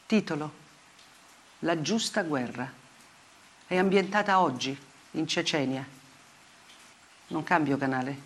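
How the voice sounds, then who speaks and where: An actress playing a grave, bitterly ironic woman journalist in a theatrical monologue, speaking deliberately in short, weighted phrases. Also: deep voice, husky. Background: music.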